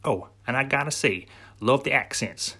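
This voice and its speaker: A man's voice: medium pitch, expressive, normal speed, vocal fry, sharp tone, slightly rough, exaggerated voice. A man putting on an American accent, close to Texan, to voice an enthusiastic adult male listener reading out folksy fan mail. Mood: cheery. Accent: put-on American, closer to Texas.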